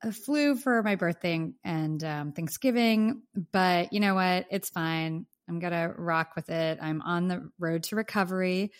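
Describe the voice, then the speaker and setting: A woman's voice: lowish voice, a little slow, hardly any pauses, clear, resonant tone. A woman co-hosting a casual podcast while sick with the flu, chatty and grateful. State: sick with the flu, may cough. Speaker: podcast co-host. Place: home in Los Angeles.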